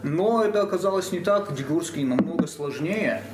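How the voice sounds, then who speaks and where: A man, a theatre actor, speaking earnestly and deliberately in a panel discussion, pausing with hesitations. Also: on the high side, animated. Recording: echoey room, faint background hum.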